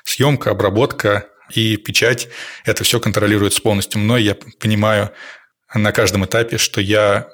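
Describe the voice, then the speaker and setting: Guest, male, lowish register, reflective and talkative podcast delivery. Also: level tone, moderate pace, a bit bright. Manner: thoughtful, detailed, with frequent fillers. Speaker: podcast guest, landscape photographer. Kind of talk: casual podcast conversation.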